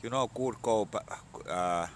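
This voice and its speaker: A man in his forties with a Finnish accent, a bit hoarse from a cold, talking in halting English with many fillers into a phone held at arm's length outdoors. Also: on the low side, slight background noise. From Finland. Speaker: vlogger. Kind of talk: casual outdoor vlog talk. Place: outdoors on a sunny spring morning.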